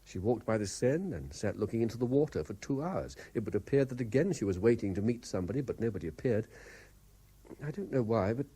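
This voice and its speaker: A man playing an earnest, troubled lawyer in a radio play, speaking in a measured, careful way. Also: fairly low pitch, animated, warm tone, husky. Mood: brooding. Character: earnest, troubled male lawyer narrating his surveillance case. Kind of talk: radio play acting with diary-like narration. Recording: 1970s radio drama recording.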